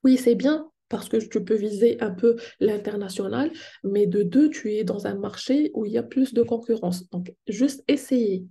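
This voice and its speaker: A woman's voice: mid-range voice, moderate pace, gravelly, mellow. A Moroccan woman whose first language is Moroccan Arabic, speaking with a Moroccan French accent as she explains business tips and poses questions to her viewers. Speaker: online business coach. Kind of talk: teaching tips to the camera.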